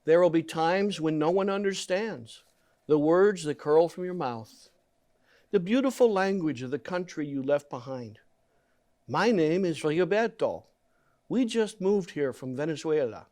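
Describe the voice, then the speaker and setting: A man reading a children's picture book aloud in a gentle, deliberate storytelling manner, leaning on key words. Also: fairly high pitch, animated. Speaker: guest story reader.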